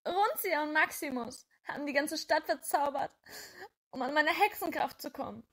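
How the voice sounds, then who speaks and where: A woman's voice: high-pitched, expressive, normal speed, a bit bright. A woman playing a brave, feisty little witch girl in an audio drama, urgent and emphatic. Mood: earnest.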